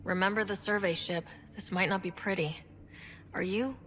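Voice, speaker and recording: A woman's voice: mid-range voice, steady tone, moderate pace, fairly bright tone, a little hiss or hum, lightly acted. A woman playing a pragmatic, cautious young team leader in a video game. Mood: questioning, firm. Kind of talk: video game cutscene acting.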